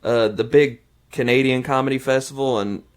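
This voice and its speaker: A man's voice: fairly even intonation, normal speed, clear, resonant tone. Nasal-voiced man chatting easily. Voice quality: somewhat nasal, fairly high voice. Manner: easygoing, anecdotal storytelling. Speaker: podcast co-host. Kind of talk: casual podcast chat.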